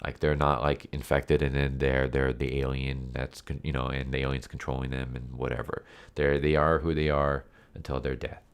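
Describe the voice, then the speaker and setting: A man with an extremely deep voice talks casually to his viewers as an online reviewer. He sounds congested and nasal, as if his nose is blocked by a cold. His delivery is unscripted and rambling, often repeating and rephrasing his points. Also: level tone, moderate pace, hardly any pauses, smooth. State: sick with a stuffed nose and cough. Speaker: YouTube anime movie reviewer and channel host.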